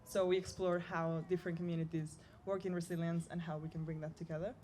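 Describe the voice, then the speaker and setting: Low-voiced woman from Spain on a panel. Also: level tone, fast talker, mellow, a little hiss or hum. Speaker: youth panelist. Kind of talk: panel introduction.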